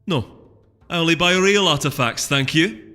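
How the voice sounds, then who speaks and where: A man's voice: fairly high pitch, normal speed, pushed and bright, pitched above the performer's own voice. A man performs a young female storybook pony with a Scottish accent. She is bubbly, adventurous and eager to chatter, set in long reverb over music. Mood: indignant.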